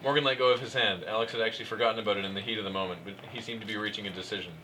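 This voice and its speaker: Fast-talking man reading aloud, noisy room. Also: lowish voice, steady tone, bright, forceful tone. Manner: stumbles over lines, amused, cues fellow performers. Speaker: narrator reading fan fiction aloud. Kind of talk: live comic read-aloud. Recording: noisy live room recording.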